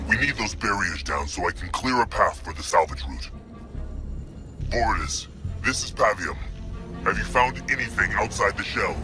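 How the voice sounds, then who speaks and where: Man voicing a commanding alien warlord, loud music behind. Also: fairly low pitch, lively intonation, normal speed, lightly acted. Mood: stern, urgent. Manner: commanding, declamatory. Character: gruff male alien warlord commander, fierce, loyal, unyielding. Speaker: military commander. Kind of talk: video game cutscene military orders.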